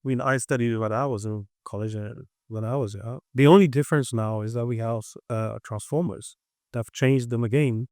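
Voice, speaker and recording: A man's voice: medium pitch, steady tone, a little fast, darkish tone, no room sound, studio quality. A man discussing his industry as a tech consultant, emphatic and often repeating words mid-sentence.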